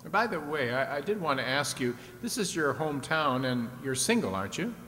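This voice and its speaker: A man's voice: fairly high pitch, very animated, a little fast, bright, forceful tone. A man playing a genial, chatty host of an old-time radio audience show, explaining things and prompting with questions. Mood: inquiring. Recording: echoey hall with background noise.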